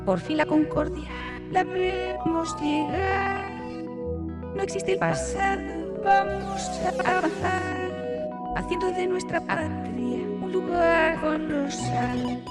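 A grand, stately algorithm character, sung by a man in zarzuela style. The melodic lines ride high and unhurried. An orchestra plays loudly underneath. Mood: proud. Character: singing algorithm personifying a constitution. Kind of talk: operatic zarzuela singing. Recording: loud music accompaniment.

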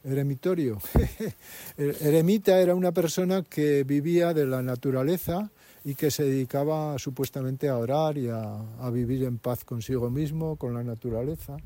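A man, working as a local guide and explaining history and landscape in a halting way, with many fillers and restarts. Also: mid-range voice, mellow.

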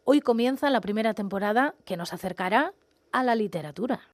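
A woman's voice: a little high, expressive, a little fast. A woman presenting a scripted literary radio programme as its host. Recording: music playing behind the voice. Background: background music.

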